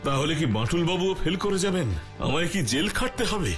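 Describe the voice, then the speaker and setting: A man's voice: sits high, expressive, a little fast, soft background music. A man voicing a panicky, woeful bank manager in a cartoon, fretful and wailing. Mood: despairing.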